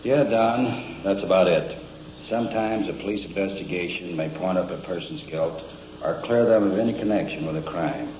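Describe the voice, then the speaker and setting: Low-voiced police lieutenant in echoey office. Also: male voice, a little hiss or hum. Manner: measured, formal. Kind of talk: summing up a case for radio. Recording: muffled, echoey old field recording.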